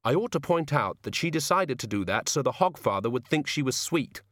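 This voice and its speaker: A man voicing a sharp, indignant woman with a sardonic, articulate and exasperated way of talking. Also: medium pitch, lively intonation, fairly quick, dry recording, clean studio sound, voice pushed up.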